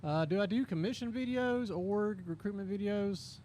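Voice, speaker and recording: A man's voice: high-pitched, moderate pace, a little mellow, a little hiss or hum. A man, rambling casually and chuckling as he streams a game and answers chat questions. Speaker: streamer and video creator. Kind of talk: casual live-stream chat while playing.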